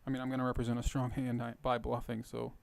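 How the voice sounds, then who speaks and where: Hoarse man talking poker strategy. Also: medium pitch, completely flat delivery, fast talker. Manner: explains strategy, thinks aloud with fillers. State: hoarse, rough voice. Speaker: poker video host. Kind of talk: casual poker commentary over gameplay.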